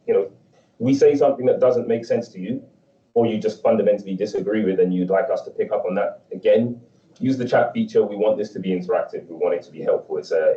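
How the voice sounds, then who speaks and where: A man moderating an online panel discussion, talking in a measured, conversational way with frequent checks like "right?". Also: fairly low pitch, warm tone. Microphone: video call. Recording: dull, distant, roomy call audio.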